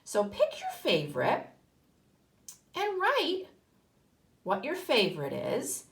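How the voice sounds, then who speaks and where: A woman's voice: high-pitched, huge pitch swings, slow, fairly bright tone. A woman teacher talking to young children, encouraging them with simple step-by-step instructions and questions. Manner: encouraging, asks questions and answers them herself. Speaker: kindergarten teacher.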